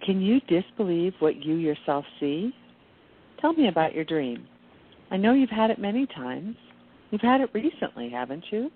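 A woman playing a gentle, knowing, reassuring angel in an amateur radio play. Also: on the low side, very animated, normal speed. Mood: soothing. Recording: dull muffled audio with clear background noise.

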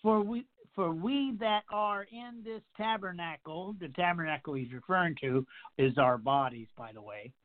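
A man's voice: fairly high pitch, normal speed. A man in his sixties preaching a radio sermon, stacking up repeated phrases and emphatic rhetorical questions. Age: 60s. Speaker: pastor. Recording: tinny phone line.

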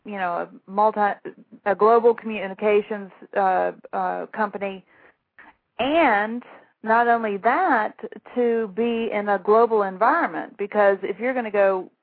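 A woman's voice: medium pitch, measured pace, dry recording. A middle-aged woman from Louisiana with a Southern accent, telling stories from her career as a guest, rambling and self-deprecating. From Shreveport, Louisiana. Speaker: author and guest. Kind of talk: phone interview, storytelling. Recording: tinny phone line.

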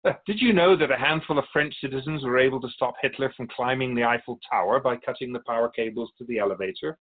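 A man's voice: medium pitch, narrow, tinny audio, lightly acted. A man playing a wise otherworldly keeper of souls in a radio drama, courteous, philosophical and wry as he tells stories. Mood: wry, storytelling. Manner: measured, philosophical, gently challenging. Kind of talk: radio drama dialogue, storytelling.

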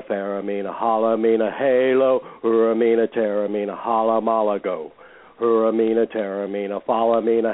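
Man chanting rhythmically over phone-like audio. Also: on the low side. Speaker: Wiccan high priest guest. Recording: thin phone-like radio recording.

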